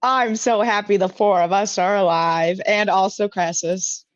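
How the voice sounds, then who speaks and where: A woman's voice: mid-range voice, steady tone, a little slow, dry recording, lo-fi sound. A young woman chatting casually as a player in a tabletop roleplaying game. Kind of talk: casual tabletop game chat with in-character lines.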